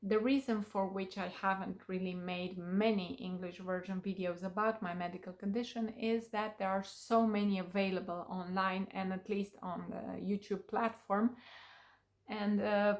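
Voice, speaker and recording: Romanian-born woman speaking in an unhurried way. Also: mid-range voice, fairly even intonation. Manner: unhurried, with many fillers and pauses. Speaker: vlogger. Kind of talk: casual talk to camera.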